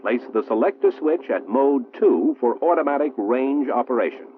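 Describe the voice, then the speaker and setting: A man's voice: medium pitch, animated, a little hiss or hum. American man narrating, tinny old film soundtrack. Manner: formal, measured, precise enunciation. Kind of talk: 1960s military instructional film narration. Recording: muffled, roomy 1960s film soundtrack, phone-like.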